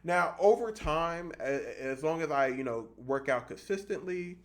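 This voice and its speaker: A man in his thirties giving a casual fitness vlog monologue, explaining things haltingly and repeating points for emphasis. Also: fairly high pitch, lively intonation. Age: 30s. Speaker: fitness vlogger.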